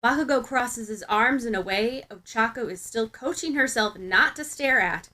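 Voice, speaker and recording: A woman reading fiction aloud as an expressive podfic narrator. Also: a little high, moderate pace.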